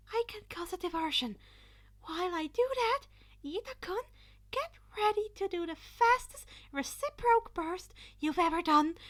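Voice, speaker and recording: A woman's voice: extremely high pitch, pitched above the performer's own voice. A woman voicing an anxious teenage boy hero in a fan fiction reading, urgent and rambling as the character hatches reckless plans. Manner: urgent, rambling, breathless whispering.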